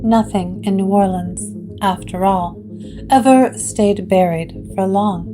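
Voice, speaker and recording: Woman narrator for a gothic horror audio drama, takes it slow, medium pitch, music under her.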